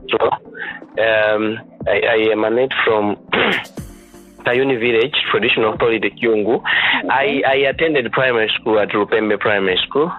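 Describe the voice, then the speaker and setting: A young Malawian man with a fairly low voice talks haltingly in an interview. He often stumbles, repeats words and restarts. The sound is thin and phone-like, with faint music underneath. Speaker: student leader guest. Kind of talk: interview answers.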